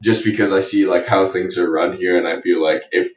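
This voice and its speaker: A man's voice: low voice, steady tone, brisk. A young man with a Canadian accent chatting casually and informally as a podcast guest, in a hesitant way. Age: young adult. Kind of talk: casual interview chat. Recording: roomy echo, distant from mic.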